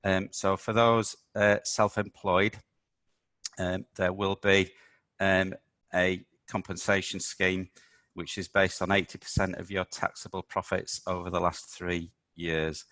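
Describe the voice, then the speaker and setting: A man hosting a business webinar, a little croaky from talking all week, explaining with many ums and uhs. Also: deep voice, level tone, measured pace, a bit bright, dry recording. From United Kingdom. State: slightly croaky voice. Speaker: webinar host, accountant.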